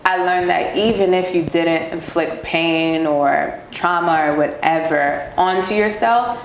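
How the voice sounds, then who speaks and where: A woman's voice: sits low, a little hiss or hum. A woman guest on a podcast, telling a personal story casually and rambling with lots of "like" fillers. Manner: rambling, heavy use of "like" fillers. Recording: echoey room.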